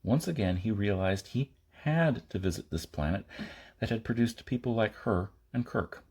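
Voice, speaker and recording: A man reading a science fiction novel aloud as an amateur audiobook narrator, in short phrases with pauses and the odd stumble. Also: fairly low pitch, animated, darkish tone, slightly rough. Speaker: audiobook reader.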